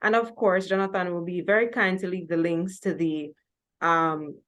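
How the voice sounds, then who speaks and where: A woman's voice: lowish voice, steady tone, moderate pace, fairly bright tone. A woman with a Jamaican accent hosting a music talk show in a conversational style.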